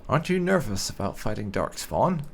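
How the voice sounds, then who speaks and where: A man's voice: fairly low pitch, big pitch swings, a little fast, vocal fry, slight background noise. A man giving casual let's play commentary as a gaming host, musing aloud with wry asides and some roleplay.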